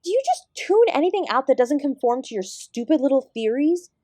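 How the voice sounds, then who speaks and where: High-voiced sarcastic immortal dancer played by a woman. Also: sing-song, brisk, warm tone, dry recording, clean studio sound. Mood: indignant. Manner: sardonic, sweary, emphatic. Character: ancient female apsara dance guru, sarcastic, exasperated, fiercely independent. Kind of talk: scripted audio roleplay addressed to the listener.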